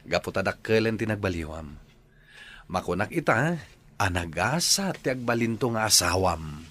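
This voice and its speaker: An actor with an Ilocano accent playing a good-natured, teasing young bachelor brother in a radio drama. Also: male voice, on the low side, sing-song, moderate pace, fairly bright tone. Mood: teasing, admiring.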